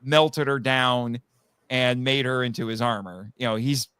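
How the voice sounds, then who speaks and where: Bright, clear-voiced man chatting animatedly. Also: mid-range voice, unhurried, no room sound. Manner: animated storyteller, acts out quotes. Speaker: guest, audio drama writer. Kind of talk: casual chat.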